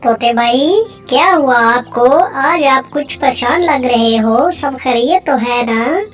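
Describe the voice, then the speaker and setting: A woman's voice: sits high, slow pace, faint music behind. A woman voicing a friendly, helpful little bird in a cartoon moral story. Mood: concerned, reassuring.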